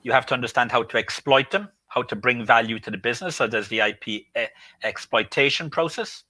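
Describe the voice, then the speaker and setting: A man from the UK, a guest speaking from his home, explaining things in a measured way. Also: mid-range voice, pushed and bright, dry recording. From United Kingdom. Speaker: guest, IP consultant. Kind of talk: interview answers.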